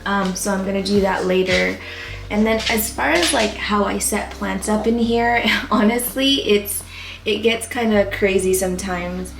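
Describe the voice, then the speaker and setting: A tired young woman with a voice going hoarse talks casually through her plant setup, rambling and filling gaps with likes and ums. Her mid-pitched voice rings a little in a roomy space. She sounds worn out. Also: measured pace, over music. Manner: chatty, rambling with fillers. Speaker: plant YouTuber. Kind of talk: casual vlog talk. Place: warm home plant room. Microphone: camera mic with ring light.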